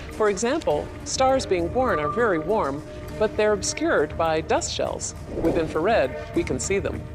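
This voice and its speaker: A woman giving a plain-worded, hands-on explanation as an expert presenter. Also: fairly low pitch. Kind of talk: explanatory demonstration to camera.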